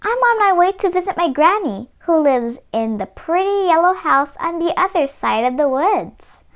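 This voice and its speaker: Woman playing a little storybook girl, high-pitched, polite, trusting, innocent, lively singsong. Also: normal speed, heavily acted. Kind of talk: storybook read-aloud character voice.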